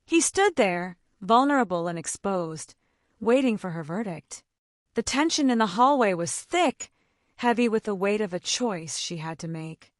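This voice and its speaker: A woman's voice: mid-range voice, no echo, clean studio sound. A woman reading a romance story as an audiobook narrator, in a dramatic scripted style.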